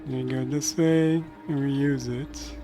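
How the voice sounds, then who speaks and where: A man in his thirties doing casual, low-key live commentary on a game, thinking aloud as he plays. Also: fairly even intonation, takes it slowly, over music. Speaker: streamer and game developer.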